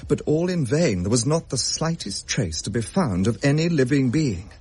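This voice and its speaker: An actor playing an English gentleman who recounts a ghostly tale in a measured, old-fashioned audio drama style. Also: medium pitch, big pitch swings, breathy edge. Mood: grave, haunted. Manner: measured, genteel, grave.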